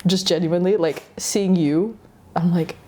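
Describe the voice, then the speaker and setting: A woman of twenty-nine hosting a casual interview, excitable and gushing, with lots of "like" in her sentences. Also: fairly low pitch, a little slow. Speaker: interviewer, music producer and songwriter.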